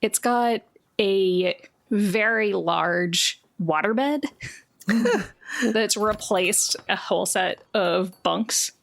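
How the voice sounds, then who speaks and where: A woman's voice: medium pitch, drawn-out words, creaky. A woman narrating a tabletop game as game master, improvising descriptions in a conversational way with casual asides.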